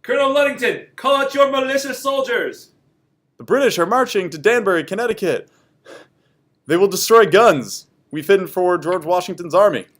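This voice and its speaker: Man acting a high, frantic war messenger. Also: expressive, moderate pace, fairly bright tone. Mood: urgent, alarmed. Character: frantic, breathlessly urgent messenger warning a colonel. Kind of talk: amateur comic read-aloud with character acting.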